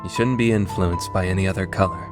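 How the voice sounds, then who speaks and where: A man playing an aloof, awkward young man with hidden kindness in a dubbed visual novel, offhand and awkwardly gentle. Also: deep voice, fairly even intonation, fast talker, music in the background, natural voice. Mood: hesitant, sincere.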